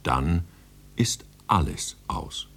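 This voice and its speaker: A man's voice: low-pitched, animated, drawn-out words, fairly bright tone, exaggerated voice. A man playing a chatty, matter-of-fact reporter who narrates a comic radio play as breezy mock reportage.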